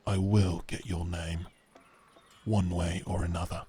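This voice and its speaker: A man playing a stern, commanding medieval knight with a gravelly, husky voice and formal, curt speech in a roleplay audio drama. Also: low-pitched, level tone, a little slow, mellow, slight background noise. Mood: stern, guarded.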